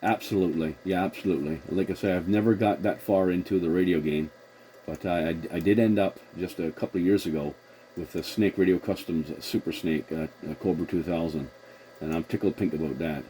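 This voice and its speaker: A man's voice: deep voice, a little fast, dark tone. A man hosting a casual CB radio conversation, using radio call procedure and rephrasing as he goes, on a noisy recording. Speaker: CB radio operator and video host.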